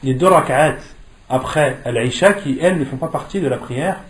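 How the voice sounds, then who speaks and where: A man teaches a religious class in a mid-pitched voice, with the room's echo around it. He is didactic, puts questions to his listeners and repeats key phrases so they stick. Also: normal speed. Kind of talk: interactive religious lesson with questions and answers. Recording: echoey room.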